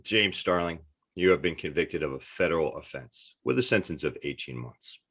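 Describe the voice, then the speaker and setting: Man as a deep-voiced, stern prison warden. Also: expressive, a bit bright, slightly rough, heavily acted. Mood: stern, official. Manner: formal, authoritative, measured. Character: stern, formal male prison warden laying down sentence. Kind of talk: screenplay table read.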